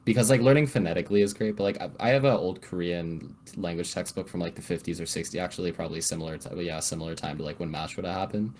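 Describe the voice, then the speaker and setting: A man's voice: sits low, fast talker, few pauses, darkish tone. A young man from the Bay Area, a podcast guest who rambles casually and says "like" a lot. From San Francisco Bay Area. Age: young adult. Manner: rambling, heavy use of "like". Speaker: guest, EMT.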